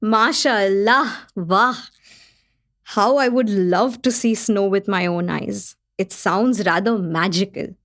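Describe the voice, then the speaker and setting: Gracious medium-pitched hostess of a literary gathering. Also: female voice, slow pace, a bit bright. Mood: delighted, gracious. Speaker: host. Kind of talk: scripted radio play hosting.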